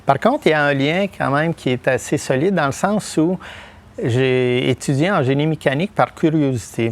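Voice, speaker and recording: A middle-aged man from Quebec with a Québécois accent, talking as a podcast guest, halting often with fillers and restarts. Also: medium pitch. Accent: Québécois French. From Quebec, Témiscamingue. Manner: hesitant, many fillers and restarts. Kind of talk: podcast interview.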